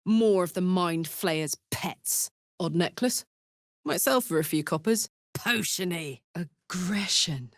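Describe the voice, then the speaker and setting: An actress playing a brash, warm-hearted warrior woman in a video game, with an earnest, salty, blunt manner. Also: fairly low pitch, animated, slow pace, dry recording, studio quality. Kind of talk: video game character voice lines.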